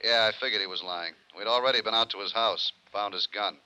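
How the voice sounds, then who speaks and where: A man's voice: on the low side, fairly quick, pushed and bright, clear, resonant tone. An actor playing a no-nonsense policeman who reports in clipped, businesslike lines in a 1950s radio drama. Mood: matter-of-fact. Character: brisk, no-nonsense male police officer. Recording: dull 1950s radio recording.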